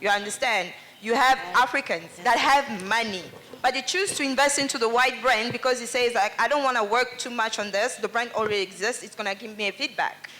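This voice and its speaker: A woman's voice: a little high, a little fast, hardly any pauses, sharp tone, slight background noise. Outspoken woman. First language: Lingala. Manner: earnest, outspoken, passionate. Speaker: panelist. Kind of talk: panel discussion comment.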